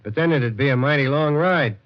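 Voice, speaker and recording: An actor playing a chatty, easygoing gas station attendant in a 1940s radio drama, on an old lo-fi recording. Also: male voice, mid-range voice, a little fast, natural voice. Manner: folksy, easygoing, polite.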